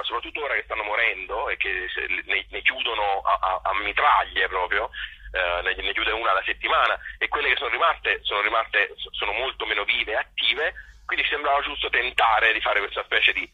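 Low, very sharp, husky Tuscan man. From provincial Tuscany, Italy. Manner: halting, repeats words while searching for phrasing. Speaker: interview guest, playwright and actor. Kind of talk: spontaneous phone interview talk. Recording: harsh telephone line on radio.

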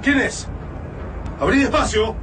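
A man's voice: very high-pitched, takes it slowly, background noise. A man with an Argentine accent acting an urgent, alarmed resistance fighter in a radio drama. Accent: Argentine, Rioplatense.

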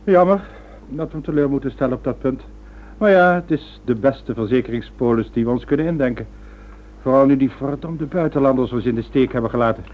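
An actor in a Dutch radio drama playing a scheming criminal leader, grandiose, bitter and scornful. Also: male voice, mid-range voice, a little fast, natural voice. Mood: cool, resentful. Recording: old radio recording, narrow phone-like band.

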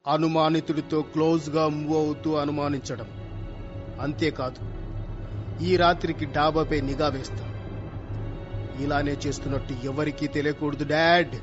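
A man's voice: a little high, little pitch movement, moderate pace, long pauses, over music, close to an everyday voice. A man playing a boastful young amateur detective son in a Telugu comedy radio play, speaking in bombastic vows with English words thrown in. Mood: earnest. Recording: dull lo-fi radio play recording.

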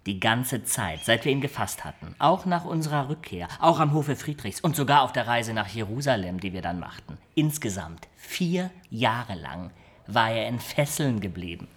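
An actor playing a wry, rough adventurer who tells his tale with dry teasing in a German radio drama. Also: mid-range voice, big pitch swings, moderate pace, a bit bright. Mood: wry storytelling.

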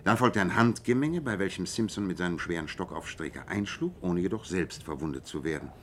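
An actor playing a shrewd, self-assured male detective in a radio play, explaining in a measured and precise way. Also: sits low, lively intonation, a little hiss or hum. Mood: analytical, assured. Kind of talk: German radio play dialogue.

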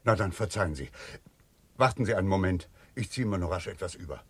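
A man's voice: deep voice, lively intonation, quick pace, breathy edge. An actor playing a wary, curt businessman in a 1970s German radio crime play. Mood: apologetic. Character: wary, gruff businessman roused at night.

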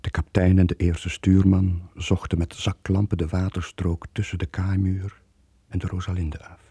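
An actor playing a guilt-ridden middle-aged sailor in a radio play, with brooding, sombre narration. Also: male voice, low voice, little pitch movement, moderate pace, creaky, dark tone, slightly rough, no echo, studio quality, heavily acted. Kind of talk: dramatic radio play monologue.

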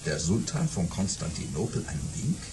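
Boastful baron, swooping delivery. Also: male voice, low-pitched, sing-song, moderate pace, music in the background. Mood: sly storytelling. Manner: theatrical, emphatic, self-important. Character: boastful old baron spinning tall tales. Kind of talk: audio play storytelling. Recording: noisy old cassette rip.

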